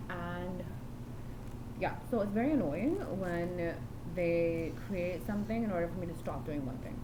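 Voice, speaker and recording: Woman rambling on in her video diary, lowish voice, keeps correcting herself, noisy hiss behind. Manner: meandering, frequent restarts and self-corrections. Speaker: vlogger.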